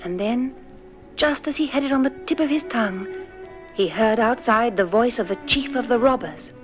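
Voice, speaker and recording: A woman's voice: on the high side, normal speed. A woman narrating a children's story record in a theatrical, suspenseful, scripted style. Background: music.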